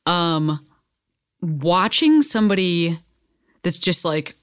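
A woman with a deep voice hosting an interview, chatty and expressive, laughing often. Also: slow, no echo, studio quality. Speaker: interviewer, host. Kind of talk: casual conversational interview.

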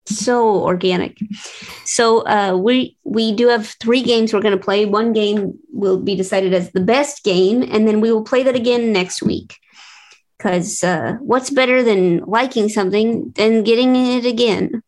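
A woman with an average-pitched voice hosts a comedy game podcast, speaking in a dry, wry, offhand way. She sounds like she is on a video call. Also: steady tone, a little slow, a little mellow, low-quality recording. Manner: dry, wry, reads listener chat aloud. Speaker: host. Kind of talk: casual podcast banter.

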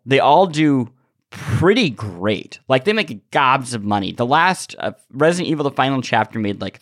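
Man, medium pitch, dry sound, podcast host, casual discussion, hesitant, self-correcting. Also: dry recording.

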